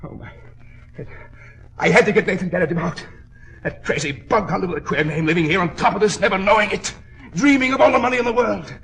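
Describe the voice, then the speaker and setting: An actor playing a wounded American swindler in an old radio drama, halting and wheedling in slangy talk. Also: male voice, high-pitched, a bit bright, slightly rough, exaggerated voice. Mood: pained, pleading. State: hurt, head bleeding. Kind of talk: 1940s radio drama acting. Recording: vintage 1940s radio broadcast.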